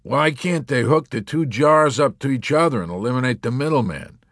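A man's voice: medium pitch, expressive, normal speed, a bit bright, dry recording, studio quality, voice pushed up. A man voicing a blunt army captain who asks puzzled questions. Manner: inquiring, blunt.

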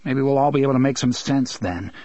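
A man's voice: mid-range voice, fast, dark tone, no echo, highs cut off, close to an everyday voice. A man voicing an angry, defiant teenage boy who talks back sharply. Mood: tired, firm.

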